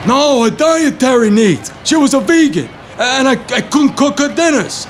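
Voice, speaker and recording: Man acting as a New York construction worker, very high male voice, sunny, naive, earnest rambler, audio drama, faint traffic behind. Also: expressive, moderate pace. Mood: matter-of-fact. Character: cheerful, naive, good-hearted middle-aged New York construction worker. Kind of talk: scripted audio play dialogue.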